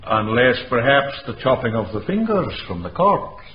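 An actor playing a shrewd, pedantic gentleman lawyer in a radio drama, formal and wry. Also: mid-range voice, sing-song, moderate pace, natural voice. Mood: dry, wry. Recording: dull radio recording, faint noise.

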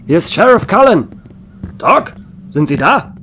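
Guy acting gruff bossy small town sheriff, horror audio drama, pitch jumps around a lot, noisy behind. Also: very animated, measured pace, fairly bright tone, full character voice. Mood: commanding. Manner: brusque, commanding.

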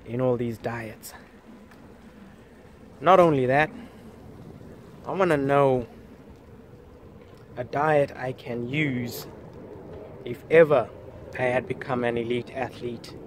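A man's voice: mid-range voice, background noise. A man vlogging and interviewing athletes outdoors, speaking into an earphone headset mic, upbeat and prompting, repeating answers back to them. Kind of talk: casual on-the-street interviews and vlog narration. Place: outdoors in a park and on streets. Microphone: earphone headset mic, selfie camera.

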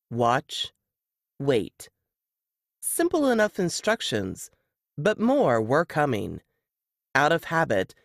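A man's voice: fairly high pitch, no echo, studio quality. A man reading scripted suspense fiction as an audiobook narrator.

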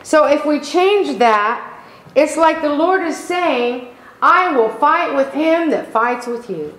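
A middle-aged woman pastor delivering a sermon in slow, emphatic preaching style, calling out for amens. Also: high voice, lively intonation, fairly bright tone. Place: church sanctuary. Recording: echoey room.

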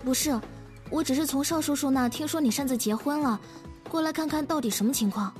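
An actress playing a spoiled young lady in a comedic audio drama. Her voice is high and coquettish, full of exclamations, over clearly audible music. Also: brisk, darkish tone, close to an everyday voice. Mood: flustered, pouty.

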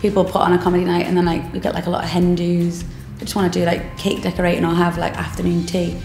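Young woman, bakery co-owner, gravelly low-ish voice, chatty, echoey cafe with music. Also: completely flat delivery, quick pace. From England. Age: 20s. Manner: casual, chatty, laughs often, says "like". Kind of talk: interview answers. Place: cafe interior, seated on sofa.

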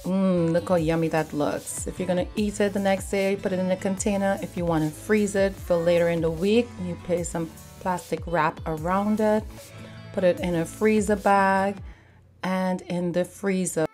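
Woman, lowish voice, calm step-by-step recipe narration over faint music. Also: moderate pace. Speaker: cooking channel host.

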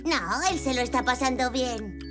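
A performer voicing an excited, curious little zebra child in a children's cartoon dub, with an eager, bubbly manner. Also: high-pitched, normal speed, natural voice. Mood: eager, reassuring. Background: children's music.

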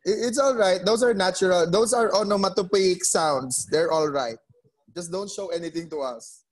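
A male teacher with a fairly high voice leads an English class over a video call. He coaxes quiet students patiently and often repeats names and words as he goes. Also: normal speed, faint music behind. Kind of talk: online class discussion.

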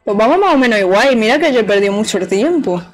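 A woman's voice: on the high side, expressive, fast. Chatty woman streaming a game. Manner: chatty, playful, talks to chat and the game. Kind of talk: casual live gameplay commentary.

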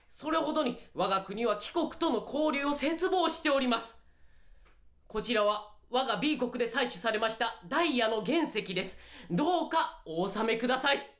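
Fervent, high, bright-voiced royal envoy. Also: female voice. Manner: formal, theatrical, impassioned. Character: earnest, fervent envoy of a small kingdom. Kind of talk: radio drama acting, formal diplomatic speech.

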